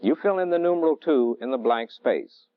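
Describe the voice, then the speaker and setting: A middle-aged man lecturing as a military technical instructor in a deliberate, didactic way, on a vintage training film soundtrack. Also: mid-range voice, expressive, dry recording, phone-quality sound. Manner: deliberate, didactic, measured phrasing. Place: indoor training film studio.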